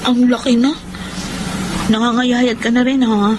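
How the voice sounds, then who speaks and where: A woman's voice: a little high, level tone, measured pace, close to an everyday voice. Caring young sister acted by a woman, noisy background. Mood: concerned, tender. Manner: solicitous, earnest. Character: caring, dutiful younger sister, gentle and earnest. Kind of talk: Filipino radio drama acting.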